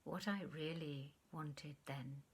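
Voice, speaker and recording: A woman's voice: deep voice, takes it slowly, husky, pitched below the performer's own voice, exaggerated voice. Woman reading as effusive, wistful former lover, a man. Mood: soft, intimate.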